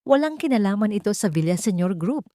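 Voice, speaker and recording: A woman voicing an earnest, gentle young wealthy man, remorseful and in love, in an audiobook drama. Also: medium pitch, sing-song, fast talker, no echo, studio quality, pitched above the performer's own voice, lightly acted. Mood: earnest, reassuring.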